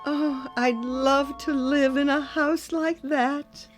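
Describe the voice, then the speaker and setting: Delighted dreamy wife, high-pitched, measured pace, gushing exclamations, radio play. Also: female voice, fairly even intonation. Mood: wistful. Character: wistful, easily delighted married woman sightseer. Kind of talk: radio drama acting. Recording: radio play with soft music underneath.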